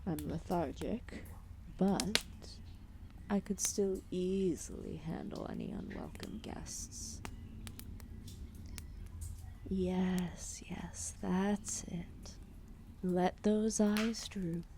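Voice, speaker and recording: A woman voicing an ancient, lethargic serpent goddess, protective and calmly menacing, in a formal, soothing, intimate ASMR roleplay with whispered phrases. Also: lowish voice, drawn-out words, pauses often. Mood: soothing, drowsy. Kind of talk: ASMR roleplay, intimate soft-spoken acting.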